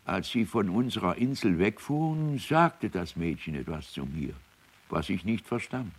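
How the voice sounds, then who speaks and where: An actor playing a reticent islander giving witness testimony in a radio play. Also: male voice, deep voice, lively intonation, a little slow, breathy edge, exaggerated voice.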